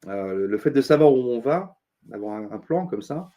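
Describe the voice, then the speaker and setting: A middle-aged man, a consultant being interviewed, talking earnestly with many pauses and restarts. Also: mid-range voice, animated, dark tone. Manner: thoughtful, many fillers and restarts, earnest advice-giving. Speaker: interview guest, business consultant. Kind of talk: casual interview talk.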